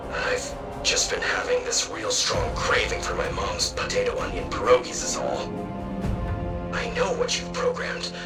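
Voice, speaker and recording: A man's voice: lowish voice, reverberant, far from the mic, heavily acted. A man playing a bewildered, wry android in conversational game dialogue, questioning and colloquial. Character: bewildered, wry male android craving human food.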